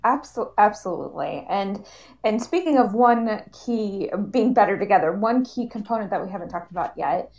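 A woman's voice: medium pitch, lively intonation, normal speed, a little mellow, highs cut off. A woman hosting a live panel discussion in a conversational style. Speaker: panel host.

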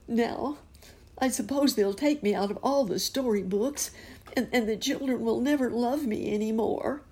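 A woman's voice: a little high, normal speed, mellow, slightly rough, pitched above the performer's own voice. A woman reading aloud and voicing a sad, fretful male cat from a storybook, in a plaintive, wavering voice.

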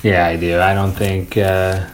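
A man co-hosting a podcast, discussing opinions casually and pausing to search for his words. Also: sits low, little pitch movement. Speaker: podcast co-host.

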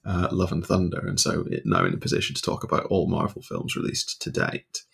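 A man co-hosting a podcast from his home study, talking quietly and chuckling often. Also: low voice, big pitch swings, fairly quick, gravelly, husky. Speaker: podcast co-host. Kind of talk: casual chat.

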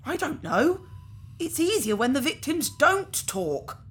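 A woman's voice: high-pitched, big pitch swings, takes it slowly, exaggerated voice. A woman playing a casual, apologetic organ harvester in a science fiction audio drama, talking in an awkward, nonchalant way. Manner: nonchalant, hesitant, politely awkward.

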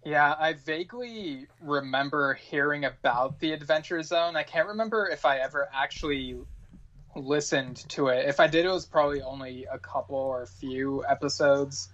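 Slightly dull, lo-fi audio of a man with a moderately high voice. He talks as a guest in a casual podcast chat, plain and matter-of-fact, often a little tentative. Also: moderate pace, fairly bright tone.

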